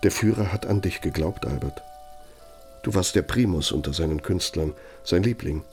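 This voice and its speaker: A man's voice: low-pitched, darkish tone, faint music behind, natural voice. An actor playing a bitter, sardonic older former right-hand man in a radio play, speaking with needling, threatening emphasis. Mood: bitter reminiscing. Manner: sarcastic, needling, emphatic, drawn-out phrasing for menace. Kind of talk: radio play dramatic monologue.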